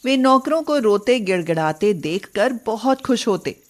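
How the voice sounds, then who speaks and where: A woman's voice: on the high side, lively intonation, a little slow. A woman reading scripted storybook narration as the narrator of a tale.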